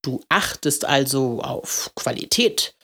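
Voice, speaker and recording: A woman voicing a kindly, playful old male candlemaker in a storybook, talking in a gentle, ceremonious way. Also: rumbling low, expressive, heavily acted. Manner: gentle, ceremonious, drawn-out questioning.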